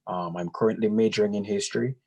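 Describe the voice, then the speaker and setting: A nineteen-year-old male student introducing himself in a measured, halting way with wry humor. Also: lowish voice, fairly even intonation, dark tone, slightly rough. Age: young adult. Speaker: student guest. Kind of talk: casual self-introduction.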